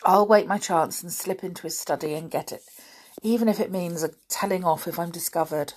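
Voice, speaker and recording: Woman as a determined boy, slightly husky. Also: on the low side, fairly quick, a little mellow, rough recording. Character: sensible, determined boy, eldest of the children.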